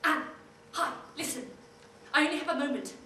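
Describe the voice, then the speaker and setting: An actress playing a woman who leaves a low, urgent, secretive message. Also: moderate pace, sharp tone, roomy sound, far from the mic, slight background noise. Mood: conspiratorial. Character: hushed, urgent conspiratorial woman caller.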